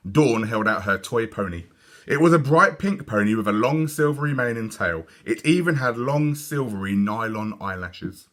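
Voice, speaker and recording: Animated British man reading a children's story. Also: medium pitch, moderate pace. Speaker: story reader. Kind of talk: expressive children's story narration.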